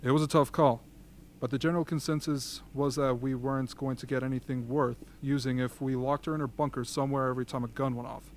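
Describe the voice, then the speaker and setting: Man as calm mid-pitched male strategist. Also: fast, slight background noise, natural voice. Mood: matter-of-fact. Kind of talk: amateur radio drama acting.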